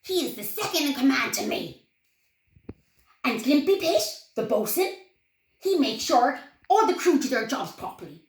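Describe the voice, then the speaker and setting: A woman's voice: high voice, very animated, normal speed, pauses often. A woman voicing a tiny, proud male pirate captain for a children's story, declaiming grandly and giving orders. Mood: hearty. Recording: roomy echo, clear background noise.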